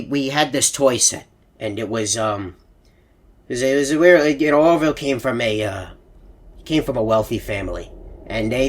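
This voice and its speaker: Husky, rambling old hippo storyteller. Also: male voice, mid-range voice. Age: older. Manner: stammering, meandering, hesitant, earnest. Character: rambling, sweet, elderly animatronic hippo storyteller. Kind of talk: rambling anecdotal monologue in a video game.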